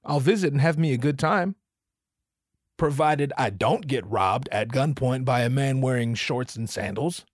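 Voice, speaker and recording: Casting: male podcast host from the Pacific Northwest, mid-pitch, profane rambling monologue, dead-dry mic. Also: measured pace, no echo. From US West Coast, Pacific Northwest. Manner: profane, rambling, self-interrupting. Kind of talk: solo comedic podcast rant.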